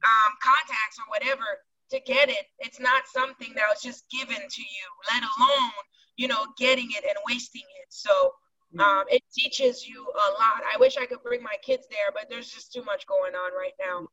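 A woman from Haiti, a business owner and podcast guest, talking in lively quick bursts and laughing often. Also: on the high side, highs cut off. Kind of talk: casual interview chat.

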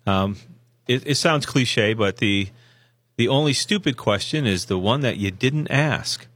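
A hoarse man co-hosts a college radio show from a studio, giving rambling, folksy advice full of restarts and fillers. His voice sits in a middle pitch. The studio sound is dry and close. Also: animated, unhurried, a bit bright, dry recording. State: hoarse from a sinus infection. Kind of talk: casual radio promo talk.

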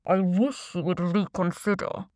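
A woman voicing a faceless, thick-set male crime boss with a deep, distorted voice and slow, mock-courteous menace. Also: fairly even intonation, a little mellow, no room sound, natural voice. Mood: menacingly polite.

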